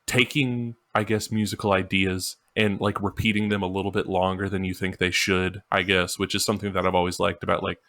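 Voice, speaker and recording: A man's voice: low voice, flat delivery, brisk, hardly any pauses, fairly bright tone, dry recording. A man co-hosting a podcast, chatting casually and informally about music. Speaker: podcast co-host.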